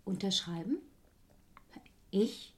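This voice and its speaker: A woman's voice: mid-range voice, slow pace, lightly acted. An actress playing a proud but uneasy woman under suspicion in a 1970s radio crime play. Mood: uneasy, resigned. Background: typewriter clacking.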